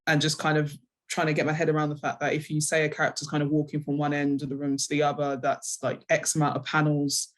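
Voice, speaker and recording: A woman's voice: low voice, monotone, quick pace. Hesitant, thoughtful London woman. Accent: London English. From London, England. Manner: hesitant, reflective, self-correcting. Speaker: interviewed guest, comic book writer. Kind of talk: conversational interview answers.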